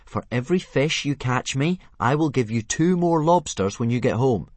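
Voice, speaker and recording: A man's voice: medium pitch, expressive, normal speed, no echo, voice pushed up, natural voice. Man doing exasperated young boy. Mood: coaxing. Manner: pleading, coaxing, sometimes yelling. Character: young boy dragon trainer, exasperated, coaxing.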